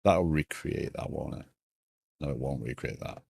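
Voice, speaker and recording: A man with a British accent softened by years in America, chatting casually on a coding live stream and thinking aloud in a stop-start way. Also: very deep voice, moderate pace, creaky, slightly rough, no room sound, clean studio sound.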